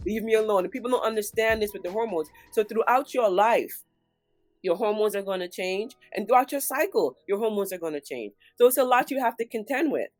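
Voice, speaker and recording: A woman's voice: medium pitch, lively intonation, brisk, a little mellow, dry recording, faint music behind. A middle-aged woman with a cold, sounding nasal and congested, talking casually as a doctor guest and acting out little quoted lines. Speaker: gynecologist guest. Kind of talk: casual interview talk.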